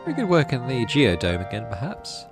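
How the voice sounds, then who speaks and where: A man's voice: mid-range voice, huge pitch swings, moderate pace, music in the background. A man giving casual gameplay commentary, often muttering to himself as he plays. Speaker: gaming host. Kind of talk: casual gameplay commentary mixed with reading game text aloud.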